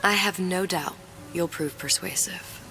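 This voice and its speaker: An actress playing a poised, sharp, mysterious young female client with a composed, articulate, dry manner in a game. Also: on the low side, measured pace, heavy background noise. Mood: cool, assured. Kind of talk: game dialogue.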